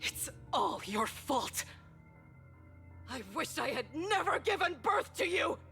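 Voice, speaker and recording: A cruel, desperate mother in a game drama. Her high voice moves very slowly and deliberately, with faint music behind it. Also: sing-song, bright, forceful tone, full character voice. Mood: bitter, coaxing. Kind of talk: dramatic video game voice acting.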